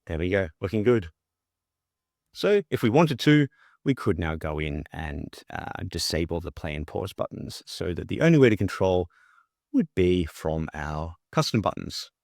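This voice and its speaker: A man presenting a software tutorial as a casual screen-share walkthrough, talking informally and thinking aloud as he demonstrates. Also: sits low, sing-song, moderate pace, gravelly, no room sound, studio quality.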